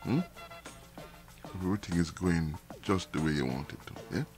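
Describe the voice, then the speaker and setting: A deep-voiced man hosts a live stream in a loose, rambling way, doubling back on his words and restarting sentences. His voice sounds a little nasal and his throat is irritated from dust. Faint music plays behind him. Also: moderate pace. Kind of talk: casual monologue to viewers.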